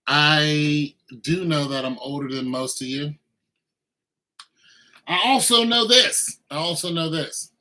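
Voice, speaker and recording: A man's voice: a little high, bright, forceful tone, poor audio. A man in his mid-fifties chatting casually and rambling to viewers on a livestream while cooking. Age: 50s. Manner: laid-back, rambling, talks to viewers. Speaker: livestream host.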